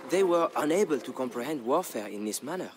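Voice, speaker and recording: A man narrating a historical tale as a dramatic scripted story, in a measured, grave storyteller's delivery. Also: mid-range voice, lively intonation. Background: background music.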